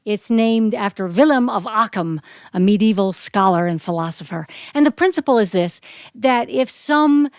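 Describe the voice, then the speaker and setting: A woman's voice: mid-range voice, clean tone, no room sound, clean studio sound. An American woman performing as a storyteller, telling a story live. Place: performance studio with audience.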